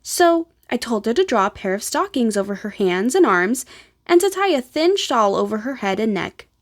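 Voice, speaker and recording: A woman's voice: fairly high pitch, big pitch swings, normal speed. A woman voicing a genteel, plain-spoken elderly grandmother in a storybook, telling her memories in a composed, old-fashioned way. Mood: reminiscing.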